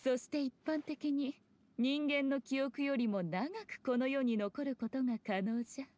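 Game role: teasing fox priestess, high-pitched, haughty, composed, speaking in archaic noble style. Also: female voice, measured pace, a little mellow, slight background noise. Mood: composed, explaining. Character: elegant, sly, teasing fox shrine maiden. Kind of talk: Japanese video game acting.

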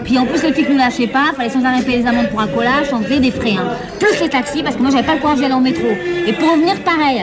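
An actress playing a weary young streetwalker devoted to her boyfriend in a radio play, speaking plain street slang. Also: high voice. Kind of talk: naturalistic French radio drama acting. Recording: loud crowd chatter behind.

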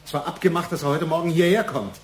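An actor playing a brusque, impatient office boss in a German radio play, curt and sardonic. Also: male voice, on the high side, fast, reverberant, a little hiss or hum, natural voice.

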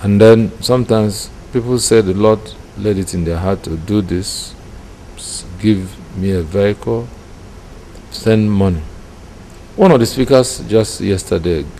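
Middle-aged male preacher, Nigerian accent, low voice, measured testimony, noisy room recording. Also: mellow. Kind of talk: personal testimony in an interview. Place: indoor room with curtains. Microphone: microphone close in front. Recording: clear background noise.

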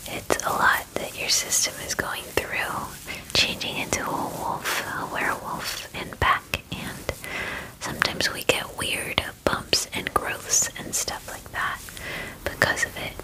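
A woman in her twenties whispering softly and soothingly in an ASMR personal-attention roleplay, murmuring reassurances close to the mic. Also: slow, poor audio. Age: 20s. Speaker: ASMR creator playing a spa groomer. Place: small dim room indoors.

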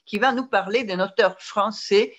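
A woman hosting a reading event on a video call, briskly introducing the next speaker and slipping in Italian phrases. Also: mid-range voice, fairly bright tone. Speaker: event host. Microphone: webcam on a video call.

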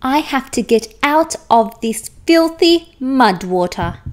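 A vain and prim female unicorn in a children's storybook, played by a woman. She speaks high, with animated swings of pitch and a dramatic, easily exasperated air. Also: slow pace. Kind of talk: children's picture book read-aloud.

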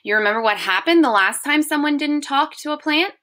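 A woman reading as a concerned storybook mum, high-voiced. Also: expressive, fairly quick, bright, forceful tone.

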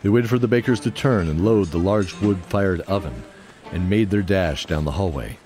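A man's voice: low-pitched, normal speed, a little mellow. A man giving scripted narration for a fantasy audio drama. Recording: music under the voice. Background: music.

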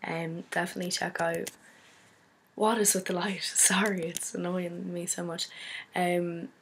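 A young woman from Donegal with an Irish accent, hoarse from a cold, chatting casually to her laptop webcam in a small bedroom and rambling with many fillers. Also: lowish voice, level tone, unhurried, background noise. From Donegal, Ireland. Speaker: photographer vlogger. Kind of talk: casual chat to camera.

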